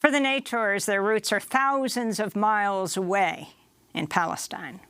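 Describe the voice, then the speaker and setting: A woman's voice: medium pitch, measured pace, fairly bright tone, clear, resonant tone, dry recording. A woman hosting a news broadcast, reading scripted narration and interviewing a guest.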